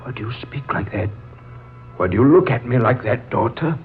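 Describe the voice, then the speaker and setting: An actor playing a dazed, halting and indignant man who speaks as a long-dead father in a 1940s radio drama. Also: mid-range voice, animated, faint music behind, natural voice. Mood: confused. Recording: muffled, noisy 1940s radio recording.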